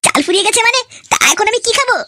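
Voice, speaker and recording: A man voicing a hot-headed, foul-mouthed young cartoon man who rants and swears constantly, through a pitched-up effect. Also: rapid-fire, bright, forceful tone, no echo, exaggerated voice. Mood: exasperated. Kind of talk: comedy cartoon dialogue.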